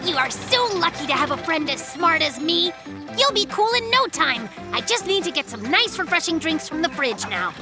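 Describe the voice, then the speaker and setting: High, excitable little cartoon bear. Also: moderate pace, over music. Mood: eager, boastful. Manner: exclamatory, melodramatic, stretches out words. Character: excitable little cartoon bear, self-styled inventor, boastful and dramatic.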